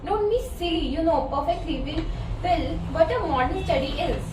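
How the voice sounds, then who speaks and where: A woman's voice: extremely high pitch, expressive, normal speed. A woman playing a persistent, nagging wife in a student readers' theatre reading. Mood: insistent, irritated. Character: persistent nagging wife set on buying a villa. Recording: noisy, echoey room, distant mic.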